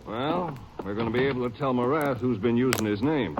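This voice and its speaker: A man's voice: mid-range voice, brisk, muffled recording, natural voice. An actor playing an earnest, plain-spoken lawman's partner in a 1950s radio western, on a noisy old recording. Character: plain-spoken Ranger sidekick, earnest and questioning.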